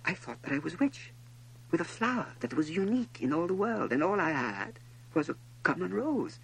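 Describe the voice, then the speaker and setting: A man's voice: fairly high pitch, sing-song, moderate pace, gravelly, breathy edge, voice pushed up, heavily acted, rough recording. A man voicing a lonely, inquisitive little boy prince in a storybook reading, with an earnest, questioning manner. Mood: sad, reflective.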